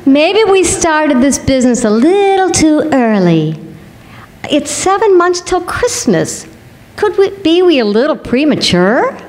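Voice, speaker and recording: A woman's voice: high voice, very animated, measured pace, darkish tone. A woman acting a worried, doubting friend and business partner in an old-time radio comedy, recorded in a hall. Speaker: actor in a radio play. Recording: echoey stage hall.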